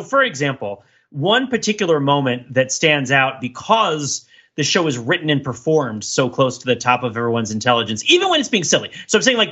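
Animated man podcasting, bright husky voice. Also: a little high, lo-fi sound. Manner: discursive, animated, emphatic. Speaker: podcast host and TV critic. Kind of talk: casual analytical podcast discussion.

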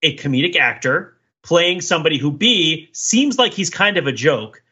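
A man's voice: on the high side, lively intonation, moderate pace, bright, forceful tone, husky, muffled recording. A man co-hosting a podcast, chatting casually and analytically in long, discursive, layered arguments. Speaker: podcast co-host. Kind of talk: casual analytical panel chat about TV.

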